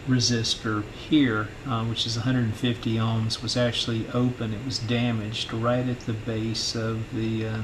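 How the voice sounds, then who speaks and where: A middle-aged man talks through a repair in an informal, halting way, thinking aloud. His mid-pitched voice stays very even and flat, with clear noise behind it. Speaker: host of a radio repair channel. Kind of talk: informal hands-on repair walkthrough. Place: indoor electronics workbench.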